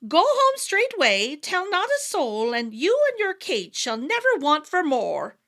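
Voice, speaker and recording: Woman voicing a sly wee fairy leader; small, high and sing-song, bright and lively, storybook reading. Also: measured pace, heavily acted. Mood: coaxing. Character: leader of the wee folk, sly little man.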